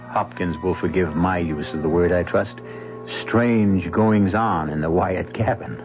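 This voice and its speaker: A man hosting and narrating a radio mystery series in a theatrical style, with an urbane, knowing tone. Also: low-pitched. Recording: muffled old radio broadcast with music.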